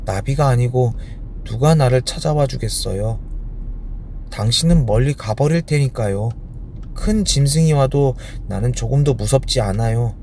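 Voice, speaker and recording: A man's voice: mid-range voice, moderate pace, dark tone, slight background noise, voice pushed up, lightly acted. A man voicing a proud, vain female flower with a haughty, delicate manner that hides tenderness. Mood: proud, wistful.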